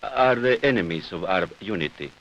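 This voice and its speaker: Lowish male voice, formal and measured. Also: lively intonation, fairly bright tone. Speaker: interview respondent. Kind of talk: formal political interview answer. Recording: dull vintage newsreel sound.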